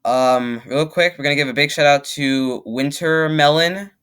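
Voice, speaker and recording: A man's voice: a little high, normal speed, fairly bright tone, clean tone. A young man hosting a reaction video with casual, rambling commentary, emphatic and repeating himself to make a point. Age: young adult.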